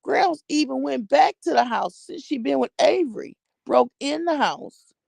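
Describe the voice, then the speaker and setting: A woman's voice: high-pitched, lively intonation, moderate pace, gravelly, darkish tone, dry recording. An American woman giving casual gossip commentary, blunt and slangy with plenty of swearing, repeating phrases for emphasis. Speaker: vlogger commenting on social media personalities.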